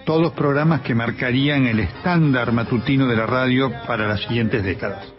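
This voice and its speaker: A male journalist with an Argentine accent giving a measured, scripted documentary narration. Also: medium pitch. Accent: Argentine Spanish. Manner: measured, even reading. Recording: slightly dull sound with music underneath.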